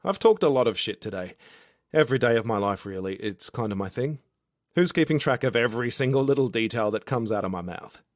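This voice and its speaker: A man's voice: medium pitch, expressive, fairly quick, no room sound, clean studio sound, natural voice. Man playing a blunt, grumbling young Aussie guy. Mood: defensive. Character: awkward young Australian man, self-deprecating, grumbling. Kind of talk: audiobook character dialogue.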